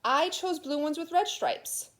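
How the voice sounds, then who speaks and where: A woman's voice: extremely high pitch, a little slow, fairly bright tone, pitched above the performer's own voice. A woman reading a children's storybook as a grumpy young boy, complaining with dramatic emphasis. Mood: defiant. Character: grumpy, indignant young boy narrating his bad day. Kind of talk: children's storybook read-aloud.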